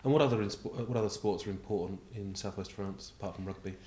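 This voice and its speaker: A man conducting an informal interview, asking questions in a measured, prompting way and paraphrasing what he hears, with a roomy, echoing sound. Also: on the low side, fairly even intonation, darkish tone.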